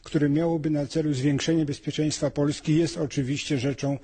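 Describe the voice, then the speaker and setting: A man in his sixties giving a measured expert comment in a news interview, in formal language. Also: a little high, fairly even intonation, warm tone, husky. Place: dim wood-panelled indoor room.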